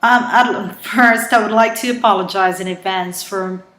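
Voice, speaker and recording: A woman with a heavy Brazilian Portuguese accent reads her own thriller aloud, her English careful and halting. She stumbles now and then and goes back to fix a word. Her voice sits at a middle pitch, and it rings in a roomy, echoing space. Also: fairly even intonation, a bit bright. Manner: careful, halting delivery with frequent self-corrections. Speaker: author reading her own novel. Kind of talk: reading a thriller novel aloud. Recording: roomy echo.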